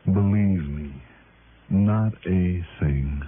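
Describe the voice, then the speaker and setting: An American actor playing a terse, brooding private investigator in a 1960s radio drama, with a laconic, dry manner. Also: male voice, low-pitched, extremely slow, phone-quality sound, a little hiss or hum. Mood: relieved. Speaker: lead actor in a radio detective serial. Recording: band-limited old radio broadcast with music.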